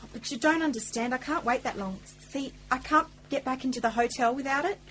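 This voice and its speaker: A woman's voice: high voice, a little fast, fairly bright tone, slight background noise. A woman playing a determined, pleading young woman in an amateur radio play. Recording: roomy, distant, humming home recording.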